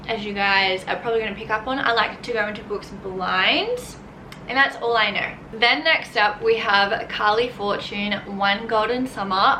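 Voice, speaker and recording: Australian woman, bright tone, slightly high voice, bubbly book vlogger. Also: roomy sound, a little hiss or hum, poor audio. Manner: bubbly, gushing, animated. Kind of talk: casual chat to camera.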